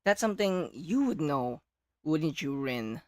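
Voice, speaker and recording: A game livestreamer with a hoarse, partly lost voice, chatting casually and excitably with viewers. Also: medium pitch, a little slow, no room sound, clean studio sound. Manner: excitable, exclaiming greetings to chat. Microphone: close microphone.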